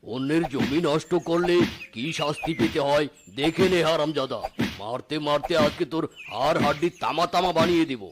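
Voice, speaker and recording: A man voicing a gruff, indignant tiger farmer in an animated cartoon, scolding and exclaiming as he talks. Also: fairly high pitch, moderate pace, background noise, close to an everyday voice. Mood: angry, threatening. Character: gruff, indignant tiger farmer uncle guarding his sugarcane.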